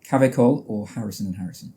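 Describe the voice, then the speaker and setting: A man hosting a podcast, firing off quickfire questions and giving short, agreeing replies. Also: on the low side, dark tone, breathy edge. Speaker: podcast host. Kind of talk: casual podcast chat.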